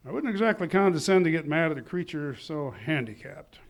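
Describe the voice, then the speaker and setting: Pompous family man played by an actor, slightly high male voice, wordy condescension, old-time radio comedy. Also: expressive, fairly quick, gravelly. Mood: haughty, scornful. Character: pompous, haughty small-town husband and father. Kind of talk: 1940s radio comedy acting, live on stage. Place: live theatre stage.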